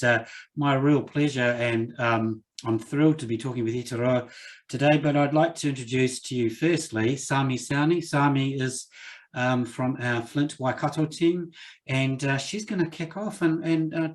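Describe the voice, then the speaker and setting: A man hosting an online session, opening it in a relaxed, chatty way with a few fillers over a video call. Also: fairly high pitch. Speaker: host. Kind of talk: opening remarks at a webinar.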